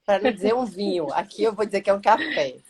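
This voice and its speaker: A woman's voice: mid-range voice, expressive, fast talker, crowd noise. A woman with a Bahian Brazilian accent hosting a live interview, chatty and affectionate, talking in long, winding sentences. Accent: Bahian Brazilian Portuguese. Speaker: lawyer and mentor hosting a live stream. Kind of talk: casual live-stream interview.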